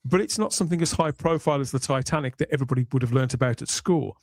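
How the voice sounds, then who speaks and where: A man hosting a podcast panel discussion, talking in a measured, thoughtful way. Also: mid-range voice, a little mellow. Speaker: podcast host. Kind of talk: panel discussion of a sci-fi series.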